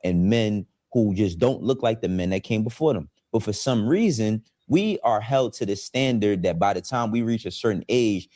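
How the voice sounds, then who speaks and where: Deep-voiced man in his forties, laid-back. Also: moderate pace, hardly any pauses, mellow, dry recording, studio quality. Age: 40s. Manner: laid-back, streetwise, laughs often. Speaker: YouTube show host. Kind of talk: casual commentary.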